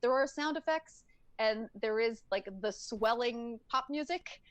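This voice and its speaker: A woman with a slightly raised pitch who chats as a podcast co-host. She talks with eager, gushing enthusiasm. The sound is dry and up close. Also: smooth, no echo. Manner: enthusiastic, gushing, quick to laugh. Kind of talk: casual chat.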